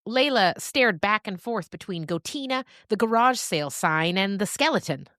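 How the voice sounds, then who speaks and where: A woman narrator reading a scripted story in a deliberate, dramatic storytelling manner. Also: medium pitch, animated, a bit bright, no room sound, clean studio sound.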